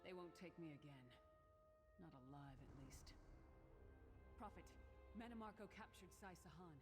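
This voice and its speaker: A woman's voice: sits low, animated, normal speed. An actress playing a tough but shaken warrior woman in a fantasy game, earnest and dramatic in short phrases. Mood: weary, determined. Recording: game audio under loud music.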